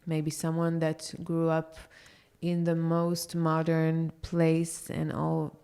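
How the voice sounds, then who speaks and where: Low, smooth, flat-voiced woman from Israel. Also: slow pace. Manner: thoughtful, hesitant, many fillers. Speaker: yoga teacher, podcast guest. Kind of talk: casual interview talk.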